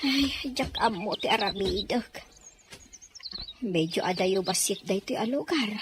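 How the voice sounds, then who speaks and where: A woman's voice: medium pitch, expressive, a little slow, background noise, lightly acted. A woman acting in an Ilocano radio drama as an anxious, kindly woman minding a baby, sighing and lamenting to herself. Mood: worried, lamenting. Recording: radio drama with music bed.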